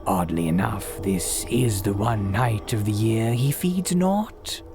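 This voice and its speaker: A man's voice: lowish voice, lively intonation, slow pace, music in the background. A man playing a sinister old priest who hosts gothic horror tales, speaking in formal, archaic phrases with an ominous, theatrical delivery that dips into whispers. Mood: macabre, courtly. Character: sinister old graveyard priest, courtly, eerie host. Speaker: horror tale host. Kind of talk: theatrical gothic radio drama monologue.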